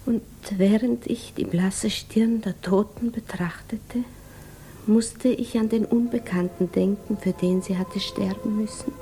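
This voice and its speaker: An actress playing a grieving, lonely woman who recounts her sorrow in a solemn, hushed 1950s radio drama monologue. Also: medium pitch. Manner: solemn, hushed, reflective, often sinking toward a whisper.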